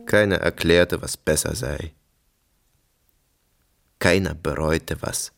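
A young man playing a haunted soldier lost in fragmented memories, speaking haltingly in a radio drama monologue. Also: rumbling low, vocal fry, music in the background, heavily acted. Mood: haunted brooding. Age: young adult.

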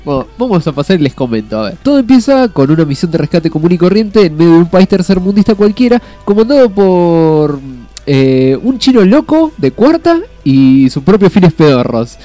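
A man's voice: sits high, sing-song, moderate pace, warm tone, faint music behind. A man with a Rioplatense Argentine accent co-hosting a podcast, presenting a film segment with frequent laughs and fillers. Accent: Rioplatense Spanish. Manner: laughs often, filler-heavy. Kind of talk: casual banter and film review.

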